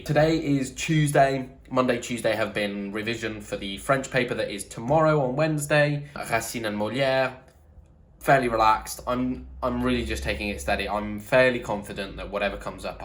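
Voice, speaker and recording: A man's voice: on the low side, moderate pace. A young man, giving frank, easygoing video diary updates to a handheld camera. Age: young adult. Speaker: student vlogger.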